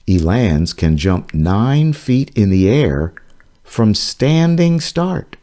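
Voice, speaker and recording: A man reading his own children's nonfiction book aloud, slowly and carefully. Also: lowish voice, big pitch swings, dark tone. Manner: slow, careful, clearly paced reading. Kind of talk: read-aloud narration for children.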